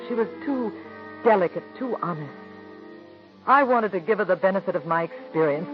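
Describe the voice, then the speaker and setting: An actress in 1940s radio acting, playing a middle-aged theater woman who narrates her story in a soft, gentle voice, on a dull old radio broadcast. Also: mid-range voice, natural voice.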